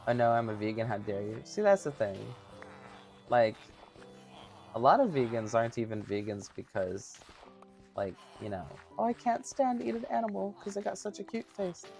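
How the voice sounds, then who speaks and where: A man in his thirties with a somewhat high-pitched voice, chatting casually with livestream viewers in a giggly, chatty, self-mocking way. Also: very animated, normal speed, vocal fry, a little mellow, soft background music. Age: 30s. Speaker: streamer.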